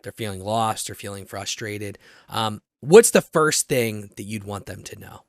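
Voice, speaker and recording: A man's voice: fairly low pitch, a little slow, gravelly, no echo, clean studio sound. An American man hosting a podcast in a casual, chatty style. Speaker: podcast host. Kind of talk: casual chat.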